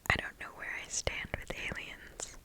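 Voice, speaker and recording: A woman reading stories aloud for ASMR in a breathy, hushed whisper, close to the microphone. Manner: slow, hushed, deliberate.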